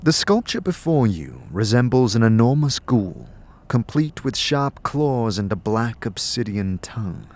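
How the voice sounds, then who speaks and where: A man's voice: medium pitch, big pitch swings, unhurried, darkish tone. A man giving scripted, dramatic second-person narration for a fantasy audio drama, as narrator and game master. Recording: music behind the voice. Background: music.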